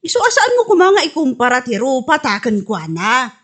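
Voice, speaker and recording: Woman acting very high, swooping, teasing Ilocano neighbour. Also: sing-song, a little fast. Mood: chiding. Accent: Filipino, Ilocano. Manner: teasing, exclamatory, chiding. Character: teasing, exasperated woman friend, a frank gossipy neighbour. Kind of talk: Ilocano radio comedy drama acting.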